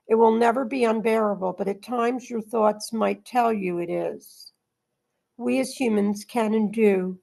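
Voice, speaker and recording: A woman leads a spiritual reading and discussion group. Her voice sits at a middle pitch with a noticeable gravelly fry, and she speaks as an informal host. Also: a little mellow.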